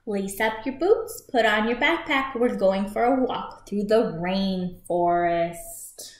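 A woman in her thirties reading a picture book aloud for young children as a storytime host, expressive and clearly paced. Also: mid-range voice, slow. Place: small room at home, indoors by a shuttered window. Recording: echoey home room.

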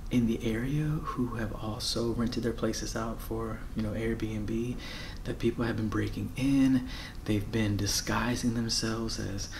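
An American man in his thirties doing a casual ASMR roleplay as a friendly, flustered rental host, soft-spoken, halting and apologetic. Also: lowish voice, fairly even intonation, gravelly, background noise. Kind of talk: ASMR roleplay, casual one-sided conversation. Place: bedroom, indoors.

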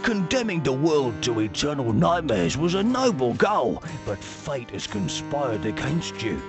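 A bumbling teddy henchman in a cartoon game. He is servile and melodramatic, with a gravelly creak and enormous swings in pitch. Music plays behind him. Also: very animated, measured pace. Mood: pleading. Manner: fawning, melodramatic. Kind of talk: animated video game cutscene acting.

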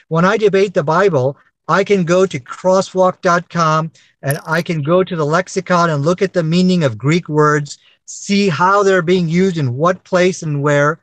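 A middle-aged man from Pakistan argues his case over a webcam on a video call. He lectures with persistence and often says "you know". His voice sits high and sounds dry and close. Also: no room sound, poor audio. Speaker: debater. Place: dark room, indoors.

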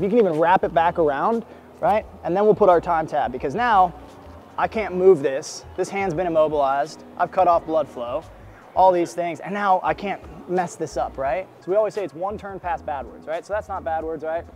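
High-voiced man coaching in a mall. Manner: energetic, coaching, keeps checking with "right?". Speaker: first aid instructor. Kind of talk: street demonstration teaching. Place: indoor shopping mall.

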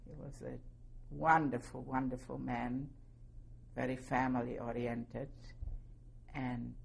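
A woman in her seventies from Poland, giving oral history testimony slowly and carefully, spelling out names. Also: extremely low pitch, level tone, gravelly, husky. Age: 70s. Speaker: interviewee.

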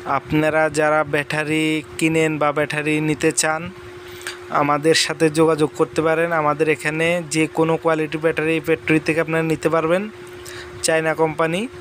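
A young man from Bangladesh selling batteries in a casual promotional talk, pausing often with fillers and restarts. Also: fairly high pitch, monotone, a bit bright, a little hiss or hum. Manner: halting, with many fillers and restarts. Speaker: battery seller. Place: large indoor battery factory floor.

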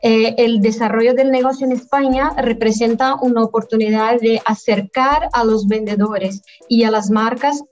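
Woman guest pausing often on a video call. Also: a little high, fairly even intonation, darkish tone. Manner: measured, halting delivery with frequent pauses. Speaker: guest, affiliate manager. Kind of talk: business interview answers. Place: indoor room at home, by video call. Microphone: webcam on a video call.

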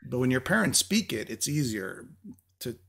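A man's voice: mid-range voice, very animated, moderate pace, gravelly, fairly bright tone. A middle-aged man, a native Greek speaker, hosting a casual interview in an informal, repetitive way. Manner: informal, repeats himself. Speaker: interview host.

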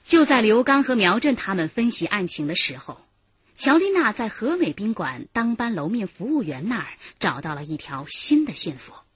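A woman's voice: medium pitch, sing-song, normal speed, breathy edge, no room sound. A woman delivering scripted narration for a radio drama. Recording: dull radio recording with music behind.

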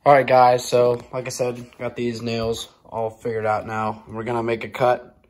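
A young man casually talking through a woodworking project in a shed, relaxed and full of fillers, recorded on a handheld phone. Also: medium pitch, normal speed, background noise. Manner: relaxed, filler-heavy explaining. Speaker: DIY video co-host. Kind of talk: casual how-to narration. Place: cold wood shop shed.

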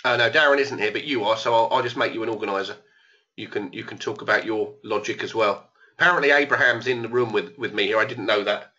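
A man hosts a live online trading session, thinking aloud as he works through his trades. His voice is a little hoarse but bright and forceful. The webinar audio sounds dull and lo-fi. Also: lowish voice, fast talker. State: losing his voice. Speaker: trading educator. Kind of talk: live trading room commentary.

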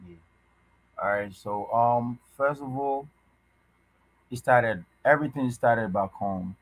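A young man from Ghana talks loosely on a video call, stopping and restarting his sentences with plenty of fillers and easy slang. His voice sits at a middling pitch, and the sound is close and dry, with almost no room echo. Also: slow pace. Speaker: podcast guest. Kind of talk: casual conversation. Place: indoors, small room at home.

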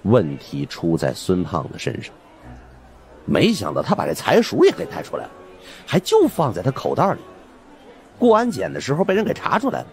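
A man's voice: fairly low pitch, wildly swooping pitch, normal speed, vocal fry, a little mellow. A man narrating an audiobook as dramatic, scripted storytelling.

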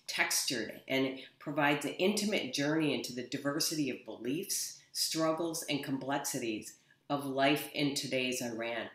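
A woman's voice: deep voice, roomy sound. A middle-aged woman, the event host, introduces a guest on a video call from her office. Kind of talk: reading an introduction.

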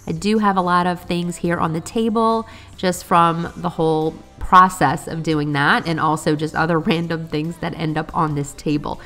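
A woman's voice: low voice, steady tone, normal speed, a bit bright, soft background music. A woman in her thirties narrating her cleaning vlog in a friendly, upbeat way while getting over a cold. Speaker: cleaning vlogger.

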